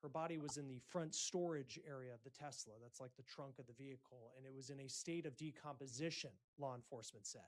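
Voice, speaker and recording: A male news presenter with a slightly high voice reports a breaking story. He phrases things deliberately, leaning on key words and pausing for drama. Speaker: news host.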